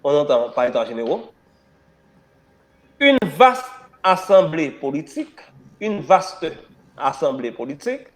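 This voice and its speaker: A man leads a history class, hoarse from a cold. He dictates notes slowly, repeating phrases and spelling words out letter by letter. He pushes key words upward with emphatic stress and rhetorical questions. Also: fairly high pitch, very animated. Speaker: history teacher.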